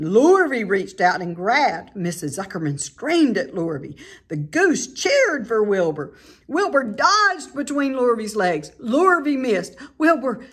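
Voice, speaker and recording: A middle-aged woman with a slightly husky voice reads a children's story aloud expressively, like a teacher. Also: a little high, fairly bright tone. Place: indoor room at home by a staircase.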